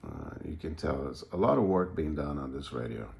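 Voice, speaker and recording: A man giving a casual, unscripted show-and-tell as a hobbyist, hesitant and halting as he thinks aloud. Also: rumbling low, expressive, vocal fry, darkish tone, a little hiss or hum. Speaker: radio hobbyist presenting a project.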